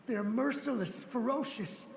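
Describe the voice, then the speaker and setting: A man's voice: very high voice, normal speed, gravelly, a little hiss or hum. A man playing a haunted, fiercely loyal samurai soldier in a video game, speaking with urgent, pleading, breathless drama. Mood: frantic warning. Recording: echoing, long ghostly reverb.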